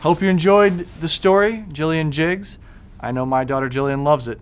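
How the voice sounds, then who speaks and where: High-voiced man reading a children's storybook. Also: moderate pace, smooth. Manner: expressive, sing-song rhyming delivery. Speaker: school superintendent reading to children.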